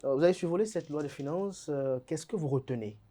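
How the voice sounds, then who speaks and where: A man's voice: a little high, a little fast, dark tone. A man, hosting a TV current-affairs debate in a formal manner, stumbling at times as he tries to cut in. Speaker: debate show host.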